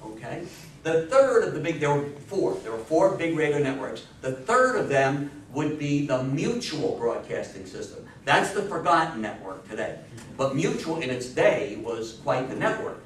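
Male American history lecturer, emphatic, higher-than-average pitch, distant mic with faint background noise. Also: sing-song, normal speed. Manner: emphatic, asks rhetorical questions. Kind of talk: informal public history talk. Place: small indoor meeting room with seated audience. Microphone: distant camera microphone. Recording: echoey room, far microphone, faint hiss.

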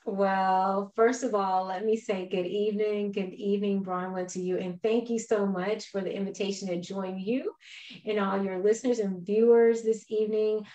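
A middle-aged woman with an American accent talks conversationally as an interview guest. Her voice sits at a medium pitch, and the room echoes clearly around it on the video call. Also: little pitch movement, moderate pace, warm tone, highs cut off. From Texas, United States. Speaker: guest, church minister. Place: indoors on a video call, virtual background.

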